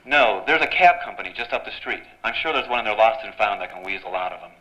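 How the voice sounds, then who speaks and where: Low-voiced, wisecracking noir reporter. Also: male voice, fast talker, bright, forceful tone. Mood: eager, confident. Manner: wry, clipped period tough-guy slang. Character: wisecracking hardboiled male newspaper reporter, sly and cocky. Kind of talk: noir radio drama acting with first-person narration. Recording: dull, noisy live radio-play recording.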